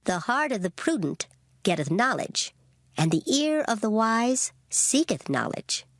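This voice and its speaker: A woman giving a dramatized Bible reading with solemn, measured delivery. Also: fairly high pitch, sing-song, no room sound, clean studio sound. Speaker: scripture reader. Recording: prominent guitar music behind voice. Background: plucked string music.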